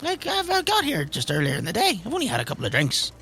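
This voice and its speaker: A man acts out a grumpy old pub regular, gravelly and swooping in pitch. He halts, repeats himself and bristles defensively, as in an improvised roleplay game. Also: huge pitch swings, fast, bright, forceful tone, slight background noise, heavily acted. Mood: flustered, defensive. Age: older.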